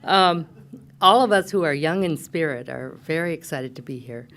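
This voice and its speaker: A woman's voice: sits low, normal speed, a bit bright. A woman hosting an event, giving an informal, hesitant welcome with joking asides to an audience. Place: public event venue.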